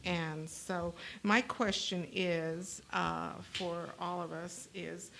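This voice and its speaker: Low, gravelly-voiced woman host. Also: fairly even intonation, fairly bright tone, slight background noise. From New Mexico, USA. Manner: halting, many fillers and restarts. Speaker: talk show host. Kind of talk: panel discussion.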